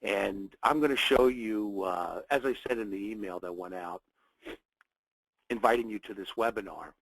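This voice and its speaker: A man's voice: fairly low pitch, moderate pace, creaky, fairly bright tone, no echo, poor audio. A man with a cold, sounding a little nasal, hosting a live trading webinar and welcoming viewers in short phrases with plenty of fillers. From United States. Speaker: webinar host, trading educator.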